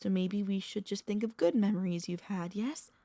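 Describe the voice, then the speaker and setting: A woman playing a tender, anxious, possessive vampire mistress in an intimate ASMR audio roleplay, speaking in soft, formal, pleading phrases with dramatic pauses. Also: mid-range voice, dry recording, natural voice. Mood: soothing.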